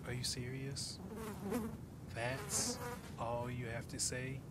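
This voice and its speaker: A man voicing a harsh, domineering, mocking woman in an ASMR roleplay, swearing scornfully with drawn-out emphasis. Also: expressive. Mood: scornful, incredulous. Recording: loud buzzing insect noise, low rumble. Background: buzzing flies sound effect.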